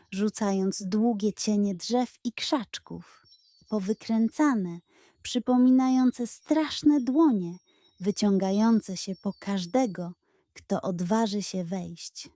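A woman narrating a scripted bedtime story for children in a gentle, expressive way. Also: medium pitch, slow, dry recording.

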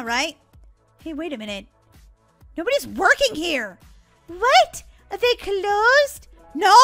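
A woman voicing excitable, dramatic young sisters in a gaming roleplay skit. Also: very high-pitched, exaggerated voice. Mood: excited. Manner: dramatic, whiny, exclaiming. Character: excitable, dramatic girl gamer and her bickering sister.

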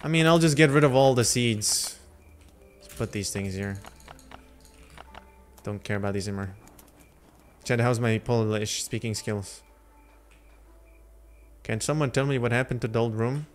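A man's voice: mid-range voice, measured pace, long pauses, soft background music. A young man whose first language is Lithuanian, chatting loosely with his live chat while he plays, talking into a headset. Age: young adult. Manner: rambling, slangy, answers chat between game moves. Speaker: livestreamer. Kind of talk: casual livestream chat while gaming. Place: home streaming room, indoors.

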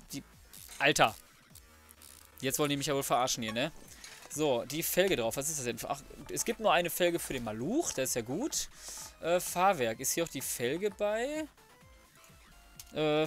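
A young man gives casual gameplay commentary, thinking aloud in a colloquial, muttering, mildly grumbling way. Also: fairly high pitch. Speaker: let's play host. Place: indoors, voice-over recorded apart from the game.

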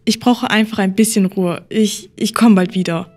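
A woman's voice: mid-range voice, steady tone, normal speed, darkish tone, soft background music, close to an everyday voice. A woman playing a lonely, withdrawn, grieving young woman in an audio drama. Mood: weary.

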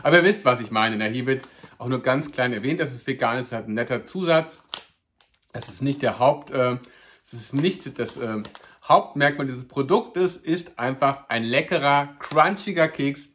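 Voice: medium pitch, moderate pace, fairly bright tone, slight background noise. A food vlogger giving a casual, upbeat snack review to camera, chatty and playful, joking about their own pronunciation. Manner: chatty and upbeat, addresses viewers as "ihr Lieben".

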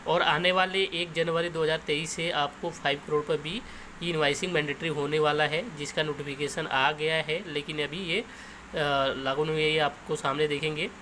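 A man's voice: fairly high pitch, sharp tone, a little hiss or hum. A man giving an informal explainer, going over points step by step. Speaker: YouTube explainer host.

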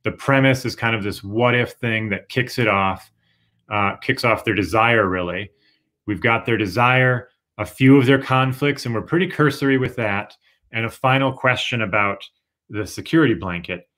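A man's voice: medium pitch, moderate pace, a bit bright. A young man co-teaching an online writing workshop, explaining patiently and hedging with "sort of" and "kind of", over a webcam. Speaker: writing instructor. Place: room at home. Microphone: webcam video call.

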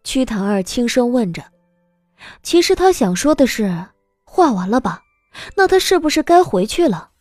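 A woman's voice: on the high side, big pitch swings, moderate pace, a little mellow, no room sound, studio quality, soft background music. A woman narrating a scripted story as the narrator of an audio drama.

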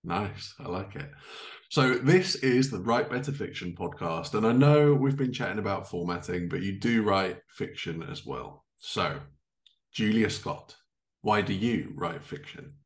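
A man's voice: fairly low pitch, expressive, unhurried, reverberant. A man in his thirties hosting a casual interview podcast over a video call from his living room. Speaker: podcast host.

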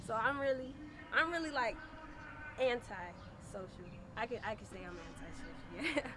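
A soft-voiced young woman in her twenties chats casually in an interview, leaning on laid-back slang and filler tags. She is recorded outdoors by a parked car. Clear street noise hums behind her. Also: fairly high pitch, lively intonation, normal speed. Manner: soft-spoken, laid-back slang, frequent "you know what I'm saying". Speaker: rapper.

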